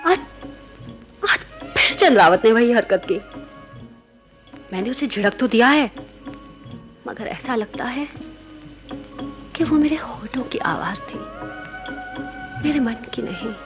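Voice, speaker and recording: A woman's voice: a little high, expressive, takes it slowly, long pauses, muffled recording. An actress playing a young, devout monastery woman tormented by forbidden love in a radio play, with dramatic, intimate delivery. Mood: anguished, torn. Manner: dramatic, breathy introspective asides and coy dialogue. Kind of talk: radio play acting with confessional monologues. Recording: radio play with background music.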